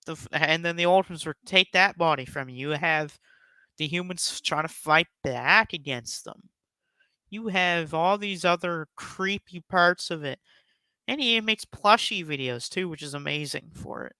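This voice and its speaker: Bright, high-pitched man, emphatic countdown host. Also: normal speed, clear, resonant tone, dry recording. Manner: emphatic, effusive, rambling. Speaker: YouTube host giving a top ten countdown. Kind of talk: casual enthusiastic commentary.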